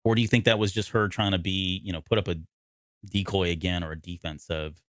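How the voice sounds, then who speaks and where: Low-voiced man in casual podcast discussion. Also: steady tone, a little fast, fairly bright tone, dry recording, clean studio sound. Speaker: podcast co-host. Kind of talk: casual discussion of an audio drama.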